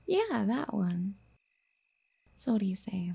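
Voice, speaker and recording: Casting: female performer as obsessive, doting yandere girlfriend for ASMR audio drama. Very soft, mellow, breathy delivery, affectionate and coaxing with a possessive streak. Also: mid-range voice, sing-song, takes it slowly, full character voice. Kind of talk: soft intimate ASMR roleplay.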